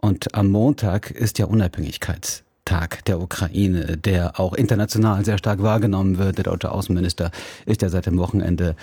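A man hosting a radio interview, calm and measured, who also interprets his guest's answers. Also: low voice, slightly rough, dry recording, studio quality. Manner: calm, measured, speaks German and Russian.

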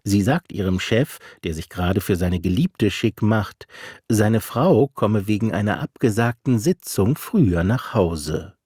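A man narrating a radio feature, measured and literary, and interviewing an author. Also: fairly low pitch, expressive, darkish tone, husky, no echo, clean studio sound. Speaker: radio reporter. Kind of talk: scripted narration.

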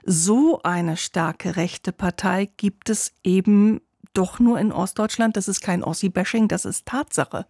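A woman hosting a radio interview, asking measured, probing questions. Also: mid-range voice, darkish tone, no echo, clean studio sound. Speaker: radio host.

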